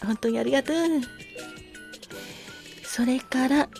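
A woman with a fairly high voice hosts a casual solo talk show over faint background music. She speaks courteously, full of gratitude, with a softly apologetic way of addressing her listeners. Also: fairly even intonation, measured pace, vocal fry. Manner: polite, effusively grateful, softly apologetic. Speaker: podcast host.

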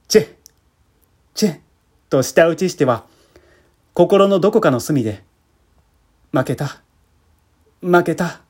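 A man's voice: on the high side, darkish tone. A man reading a literary short story aloud as a dramatic narration. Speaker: amateur theatre troupe leader reading aloud. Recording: music bed behind the voice.

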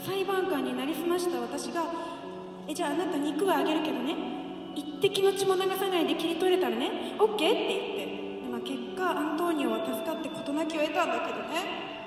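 A woman's voice: sits high, a little fast, lightly acted. A woman playing a pushy, theatrical young Italian noblewoman in a comic radio drama, chatty and emphatic as she hands out advice. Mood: brisk, exasperated. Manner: breezy, chatty, emphatic feminine speech. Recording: echoey hall, distant, background music. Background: background music.

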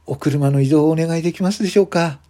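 A man's voice: fairly high pitch, fast, mellow, pitched above the performer's own voice, lightly acted. A man voicing a timid, polite hotel parking attendant who speaks hesitantly and apologetically.

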